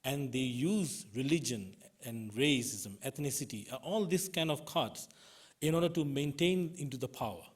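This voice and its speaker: A man with a Burmese accent gives a prepared public speech as a human rights activist, in halting, non-native English. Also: medium pitch, expressive, breathy edge. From Burma (Myanmar). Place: conference auditorium.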